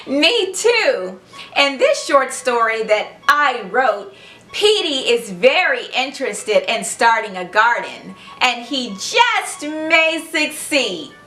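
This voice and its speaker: A woman hosting a children's read-aloud, narrating in an animated, expressive storybook style and speaking directly to young listeners. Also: high-pitched, echoey room, poor audio.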